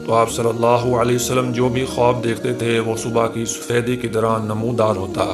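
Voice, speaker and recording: A man's voice: mid-range voice, level tone, normal speed. A man reading a scripted religious history narration in a formal, reverent manner. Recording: music behind the voice. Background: music.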